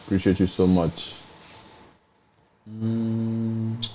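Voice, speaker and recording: A man's voice: lowish voice, little pitch movement, very slow, dark tone, rough recording. A man hosting a casual livestream, reading out viewer comments and answering them in a brash, mocking, joking way, often breaking into laughter. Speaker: livestream host.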